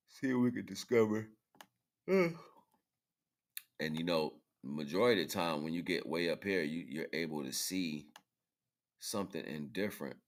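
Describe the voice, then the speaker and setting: A man with an extremely low voice talks as a livestream host. His delivery is casual and rambling, full of slang and halting fragments, as he gives informal spiritual commentary.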